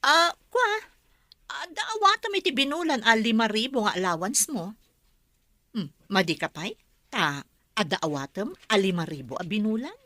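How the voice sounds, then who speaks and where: A sharp, scheming aunt. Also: female voice, fairly high pitch, very animated, normal speed, no room sound, heavily acted. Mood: bargaining. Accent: Ilocano. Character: scheming, greedy, bossy middle-aged aunt. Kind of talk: Philippine radio drama acting.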